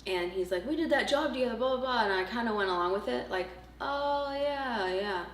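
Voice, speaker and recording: A young adult woman from Montreal whose first language is French, a choreographer, telling a story in a relaxed, wry way. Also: fairly high pitch, brisk. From Montreal, Canada. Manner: relaxed, wry, laughing along. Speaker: professional choreographer. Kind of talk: casual storytelling. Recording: roomy, distant mic with faint noise.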